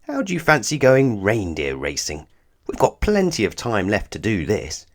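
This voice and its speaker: Man putting on a booming, jovial Father Christmas. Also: huge pitch swings, moderate pace. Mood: breezy, playful. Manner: hearty, breezy, persuasive.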